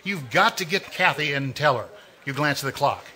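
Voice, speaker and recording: Actor as an all-knowing radio mystery narrator, mocking and measured, slightly high, sharp tone. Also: male voice, lively intonation. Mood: taunting. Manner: taunting second-person narration, measured and ominous. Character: sinister omniscient male narrator of a radio mystery. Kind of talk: 1940s radio acting.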